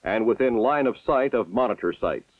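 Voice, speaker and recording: A man's voice: mid-range voice, lively intonation, dry recording. Male narrator, formal scripted delivery, tinny dry vintage film soundtrack. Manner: measured, formal military delivery.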